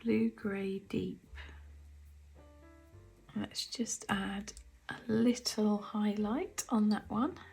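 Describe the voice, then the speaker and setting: A woman with a croaky throat from the cold, casually talking through her paints as she swatches them, often half-whispering and musing aloud. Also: medium pitch, fairly even intonation, very slow, long pauses, darkish tone, soft background music. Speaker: artist demonstrating paints. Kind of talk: casual craft tutorial chat. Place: home art studio.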